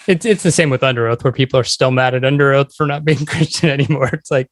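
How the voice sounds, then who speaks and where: A man interviews a guest as a podcast host. His pitch is average and the sound is dry, with little room. He speaks informally, in loose conversational phrases. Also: fast, no room sound.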